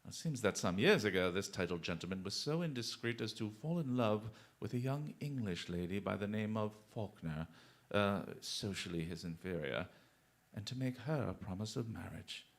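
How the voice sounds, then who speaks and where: An actor playing a brilliant, playfully self-assured English gentleman detective in a radio drama, speaking in eloquent, exclamatory flourishes. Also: on the low side, expressive, moderate pace, vocal fry. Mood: expository.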